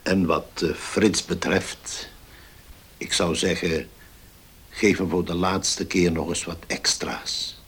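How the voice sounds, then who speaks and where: An actor playing an older, well-off father in a radio play, indulgent and gruffly dignified, weighing his words. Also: low-pitched, flat delivery, takes it slowly, fairly bright tone, exaggerated voice. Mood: generous. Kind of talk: Dutch radio play acting.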